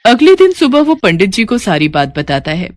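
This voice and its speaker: A woman's voice: mid-range voice, wildly swooping pitch, normal speed, a little hiss or hum. A woman storyteller giving scripted narration of a devotional moral tale.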